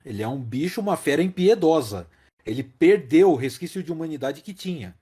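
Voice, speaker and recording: A man's voice: fairly high pitch, expressive, brisk, mellow, breathy edge. A Brazilian man co-hosting a casual film discussion, explaining things emphatically and repeating key phrases. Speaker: podcast host.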